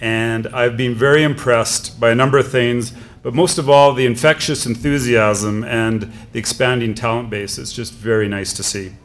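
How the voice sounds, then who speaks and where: A man's voice: mid-range voice, steady tone, moderate pace, a bit bright. Canadian man giving a conference talk. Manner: conversational, with frequent fillers. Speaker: conference speaker. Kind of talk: conference speech.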